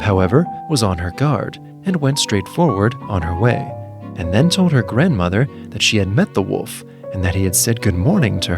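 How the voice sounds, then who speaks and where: A man narrating a scripted fairy tale in an expressive, measured storytelling manner. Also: lowish voice.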